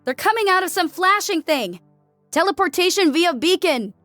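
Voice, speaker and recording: A woman voicing a battle-hardened female army sergeant in an audiobook, with a forceful, brisk military manner. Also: very high voice, lively intonation, no echo, faint music behind, voice pushed up. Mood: urgent report.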